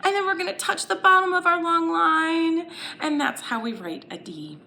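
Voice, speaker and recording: A woman's voice: extremely high pitch, lively intonation, normal speed, gravelly, pushed and bright, a little hiss or hum. A woman leading a children's story time lesson, playful and exaggerated, encouraging little listeners with questions and sing-along phrases.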